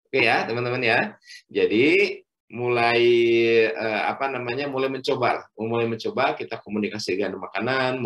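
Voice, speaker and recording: A middle-aged man, a doctor, giving a health lecture at a religious study session, speaking in measured phrases with frequent fillers and addressing the listeners as friends. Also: mid-range voice.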